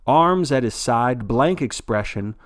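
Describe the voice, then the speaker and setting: Casting: male sports commentator, acted by a man, folksy and blithe, lively swooping delivery, for a dystopian audio drama. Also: mid-range voice, takes it slowly. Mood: excited, solemn. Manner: folksy, showy, blithely cheerful about grim events. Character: jovial male sports broadcaster of a dystopian death march.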